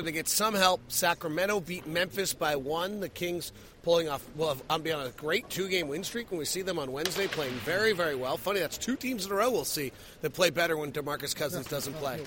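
A man's voice: fairly high pitch, moderate pace, background noise. A man hosting a sports post-game podcast, breaking down the night's play as a broadcaster would. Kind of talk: post-game analysis and commentary.

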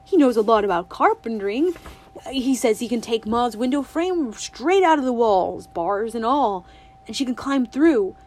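A woman voicing an eager young country boy who talks fast in a hushed, urgent, rustic drawl in an audiobook. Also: a little high, animated. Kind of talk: audiobook reading.

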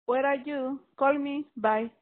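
Very high woman, slow and pleading, personal voicemail, phone quality. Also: steady tone. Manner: affectionate, pleading. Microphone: telephone. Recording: narrow, tinny telephone line.

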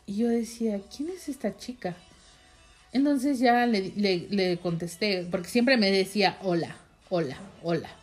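A woman's voice: mid-range voice, a little slow, faint music behind. A young woman with a Mexican accent tells a personal story in a casual, rambling way, with many fillers and asides to her viewers. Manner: chatty, rambling, heavy use of fillers, addresses viewers directly. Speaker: vlogger telling a personal story.

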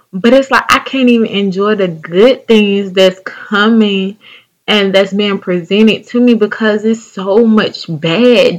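Young Southern woman from North Carolina, podcast host, mid-pitched voice, slow and drawling, casual and open. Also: a bit bright. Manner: slow, drawling, chatty and candid. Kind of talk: casual solo podcast venting.

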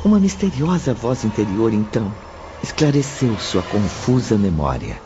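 Narrador de radionovela, gravação abafada. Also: male voice, on the low side, big pitch swings, moderate pace, background noise. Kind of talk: dramatic scripted radio drama narration. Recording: muffled, under prominent music.

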